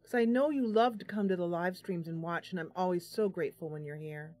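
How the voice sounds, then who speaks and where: A woman's voice: lowish voice, a little fast, a little mellow, no room sound. Chatty, bubbly woman streamer, close dry sound. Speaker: live stream host, gamer. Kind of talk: casual live stream chat.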